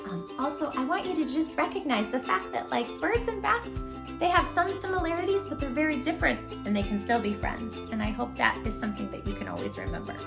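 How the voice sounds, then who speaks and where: Animated high-voiced woman reading to kids. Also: fast talker, vocal fry, over music. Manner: animated, exaggerated, addressing children directly. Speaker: children's storytime host and teacher-like reader. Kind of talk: expressive read-aloud for young children.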